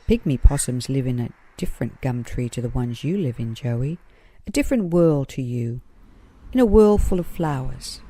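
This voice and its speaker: A woman voicing an excitable, confident young male kangaroo who explains things eagerly in a children's storybook. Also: mid-range voice, normal speed, a little hiss or hum, close to an everyday voice. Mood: knowing.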